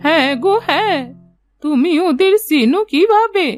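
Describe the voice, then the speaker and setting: Woman playing old fishing grandmother, very high voice, blunt, honest, cartoon fairy tale, faint music underneath. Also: lively intonation, moderate pace. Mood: surprised, curious.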